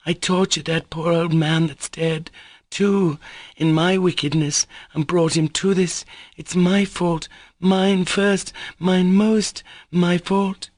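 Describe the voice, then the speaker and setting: A man's voice: high-pitched, fairly even intonation, slow pace, no room sound, clean studio sound, pitched above the performer's own voice. A man voicing Grushenka, a distraught young woman crying out her guilt. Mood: anguished. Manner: crying, heart-rending.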